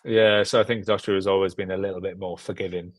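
A man's voice: low-pitched, level tone, quick pace, no echo. A young British man chatting casually as an interview guest, rambling and self-deprecating, repeating himself as he goes. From Britain. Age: young adult. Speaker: guest filmmaker. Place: room at home, on a video call.

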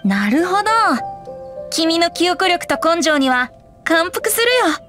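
A woman's voice: very high-pitched, big pitch swings, a little slow, music in the background, exaggerated voice. A woman voicing a playful, sly young male wandering bard with a light boyish voice in an anime-style game.

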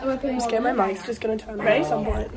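A woman's voice: on the high side, fairly even intonation, fairly quick. A young woman chatting casually to a handheld camera as she vlogs backstage. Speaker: vlogger, pantomime performer. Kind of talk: casual backstage vlog chat. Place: busy backstage dressing rooms. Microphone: handheld camera close by. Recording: loud chatter of backstage crowd.

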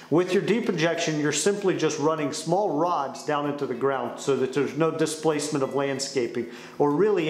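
A young man presenting a scripted company explainer to camera in a small indoor studio. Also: fairly high pitch. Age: young adult. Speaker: sales director presenting a company explainer video. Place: small indoor studio with dark wall panels. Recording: roomy echo on the voice.

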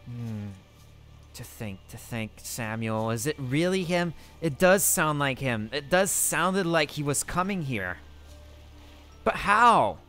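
A man voicing his character in a survival game roleplay, excitably thinking aloud to himself. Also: a little high, slow pace, soft background music.

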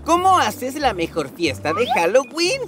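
A woman's voice: on the high side, very animated, measured pace. A woman voicing a curious, upbeat young explorer in a children's educational cartoon, bubbly, exclamatory and full of questions. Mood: amazed, cheerful. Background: music.

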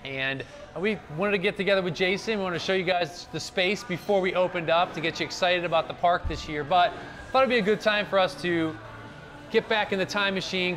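A man's voice: high-pitched, a bit bright. A man, hosting a promotional interview in his restaurant, enthusiastic and chatty. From Cleveland, Ohio. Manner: enthusiastic, chatty, many fillers like "like". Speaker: restaurant owner hosting the chat. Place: inside a decorated restaurant.

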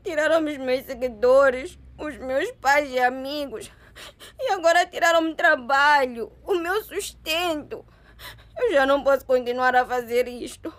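A woman's voice: high-pitched, unhurried. A woman playing a despairing, wronged young woman in a radio drama, tearful and plaintive. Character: despairing young woman, a wronged cousin who lost everything.

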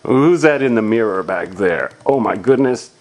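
A man's voice: on the low side, animated, normal speed, darkish tone, slight background noise. A man reading a picture book aloud to a young child, asking simple questions and exclaiming as he explains.

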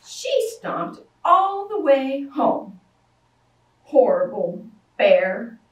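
A woman in her thirties reading a picture book aloud to young children at a library storytime, sing-song and animated, acting out shouts and roars. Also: high voice, drawn-out words, distant mic, highs cut off. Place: library storytime room with toys. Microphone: far camera. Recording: echoey room, voice from afar.

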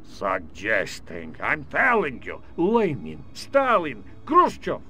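Sneering alien bad guy, slow and showy, swings his pitch all over. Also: huge pitch swings, sharp tone, full character voice. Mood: triumphant. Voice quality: gloating, theatrical, sneering. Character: scheming male alien villain disguised as a Russian general. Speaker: villain. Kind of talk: video game cutscene acting.

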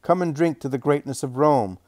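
Brief: man doing a shrill, scornful tavern mistress, high and loud, dry. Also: a little fast, no echo, close to an everyday voice. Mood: jeering. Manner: jeering, shouting. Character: shrill coarse female tavern keeper, scornful.

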